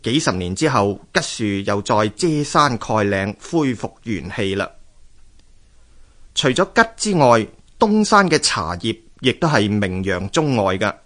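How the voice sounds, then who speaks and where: Animated male Hong Kong Cantonese narrator. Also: fairly low pitch, a little slow. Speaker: radio narrator. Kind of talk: scripted documentary storytelling narration.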